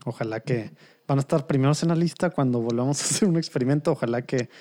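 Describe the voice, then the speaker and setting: A man co-hosts a podcast in a rambling, light-hearted way, poking fun at himself. His voice is mellow and warm, at a middle pitch. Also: fast. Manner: rambling, light, self-deprecating. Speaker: podcast co-host. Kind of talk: casual chat.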